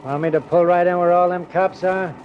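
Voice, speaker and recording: An actor playing a chatty, nosy working-class cab driver with an American accent and folksy, slangy talk in a 1940s radio drama. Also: male voice, high-pitched, steady tone, fairly quick, lightly acted. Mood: eager, obliging. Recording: muffled old radio recording, street noise.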